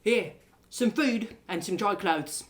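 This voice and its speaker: A woman playing a kindly male prison guard with a folksy, polite and gentle way of talking. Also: mid-range voice, slow pace, lightly acted.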